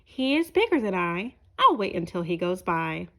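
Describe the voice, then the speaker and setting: A woman's voice: on the low side, big pitch swings, moderate pace, pushed and bright, voice pushed down, heavily acted. A woman voicing a patient male street cap peddler in a children's storybook. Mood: resigned, patient. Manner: calling out wares, sighing resignedly.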